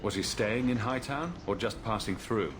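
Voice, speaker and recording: An actor playing a joking, easygoing adventurer hero in a video game, with a wry, glib manner. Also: male voice, on the low side, moderate pace, noisy background, natural voice.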